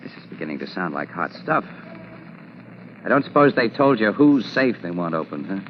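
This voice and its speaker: A muffled, faintly hissy 1940s radio broadcast carries a savvy male crime photographer. His voice is low, and he talks in casual, steady, wisecracking big-city slang. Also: normal speed. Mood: reassuring, thoughtful. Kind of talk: 1940s radio drama acting.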